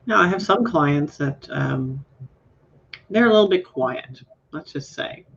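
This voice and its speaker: A woman with a Southern American accent hosting a career chat, speaking deliberately in a low, somewhat nasal tone. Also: lively intonation, creaky, husky, lo-fi sound. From Southern United States. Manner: deliberate, carefully enunciated speech. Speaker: career coach, host. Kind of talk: casual chat.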